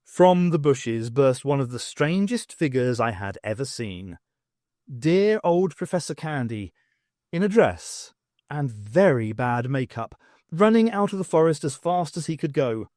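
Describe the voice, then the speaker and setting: A man reading a story aloud as an audiobook narrator, in an expressive, scripted storytelling delivery. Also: medium pitch, unhurried, dry recording, studio quality. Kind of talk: scripted dramatic narration of fiction.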